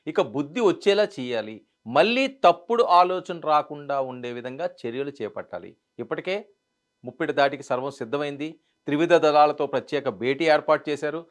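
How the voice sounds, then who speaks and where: A man's voice: a little high, lively intonation, brisk. A man delivering news commentary in an emphatic way, repeating phrases for stress. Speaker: news presenter.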